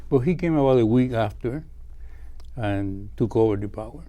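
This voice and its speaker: An older Cuban man speaks in a very dark, mellow voice. He answers politely and pauses to search for his English words. His Cuban Spanish accent is plain. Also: medium pitch. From Cuba. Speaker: classical guitarist guest. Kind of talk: interview answers.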